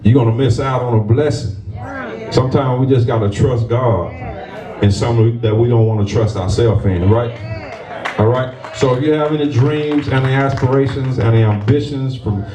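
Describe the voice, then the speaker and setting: A man, a stage actor, tells a lively story to a live audience with laughs, then closes with motivational encouragement. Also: mid-range voice, moderate pace, a crowd in the background. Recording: roomy sound from a distant mic.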